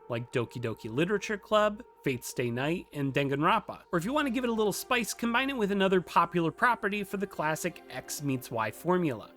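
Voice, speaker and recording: Man, fairly high voice, scripted tutorial narration, playful emphatic asides, music in the background. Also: fairly bright tone. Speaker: host of a game development tutorial.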